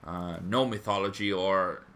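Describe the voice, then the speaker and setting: A man with a fairly low voice and a bright, forceful tone hosts a live fan stream. He talks in a loose, rambling way, pausing often as he answers viewers' comments. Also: fairly even intonation. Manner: rambling, hesitant, conversational. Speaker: fan channel stream host.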